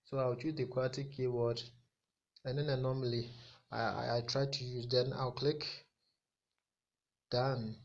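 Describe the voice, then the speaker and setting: A man's voice: medium pitch, flat delivery. Ghanaian man, dull lo-fi tutorial recording. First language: Akan. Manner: halting, repetitive explanation. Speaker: tech tutorial host. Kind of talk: informal step-by-step tutorial, mixing two languages.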